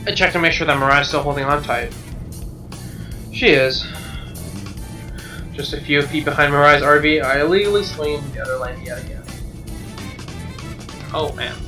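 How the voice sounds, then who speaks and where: A man reading a visual novel aloud as dramatic, expressive narration, with game music behind him. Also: medium pitch, normal speed, pauses often. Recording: slightly distant mic under game music.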